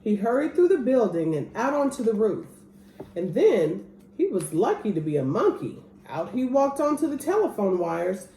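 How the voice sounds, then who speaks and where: A woman reading a children's picture book aloud as an expressive storyteller. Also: medium pitch, normal speed. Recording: roomy echo, clear background noise.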